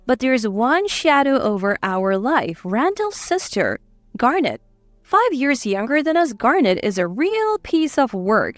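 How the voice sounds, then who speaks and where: A woman tells a scripted first-person story. Her voice is high and very animated, recorded dry with faint music underneath. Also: no echo. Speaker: story narrator.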